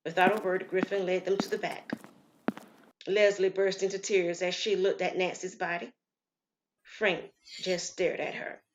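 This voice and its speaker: A woman reading a dramatic scripted story as narrator, solemn and deliberate. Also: on the low side, level tone, a little hiss or hum. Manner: solemn, deliberate, acts out dialogue. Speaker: storyteller and writer-performer.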